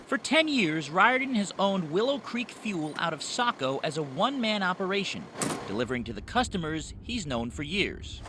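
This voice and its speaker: A man's voice: high-pitched, sing-song, normal speed, background noise. A man working as a television news reporter, reading a scripted narration.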